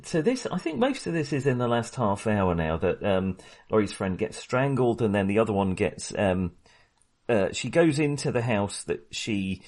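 A man co-hosting a podcast, talking through a film casually in a halting, hesitant way. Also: lowish voice, a little mellow. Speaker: podcast co-host.

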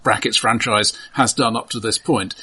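A man in a casual film discussion as a podcast host, analytical and halting, with repeated starts. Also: on the low side, fairly even intonation, pushed and bright, a little hiss or hum.

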